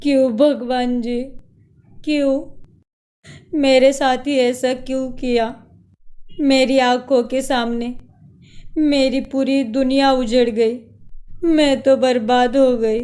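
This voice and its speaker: A woman plays a heartbroken estranged wife in a cartoon melodrama. She sounds resolute and sorrowful, speaking slowly and dramatically in a soft, mellow voice. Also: sits high, level tone, clean tone. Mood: anguished. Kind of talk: melodramatic cartoon story acting.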